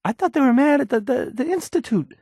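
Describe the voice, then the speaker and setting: Stammering, nervous young radio DJ. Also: male voice, very high-pitched, animated, a little mellow, dry recording, clean studio sound, exaggerated voice. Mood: flustered. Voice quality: shaky, hesitant voice. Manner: stammering, halting, apologetic, trailing off. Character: timid, awkward young male radio DJ, anxious and unsure of himself. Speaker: radio news announcer. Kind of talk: video game voice acting, nervous radio news reading.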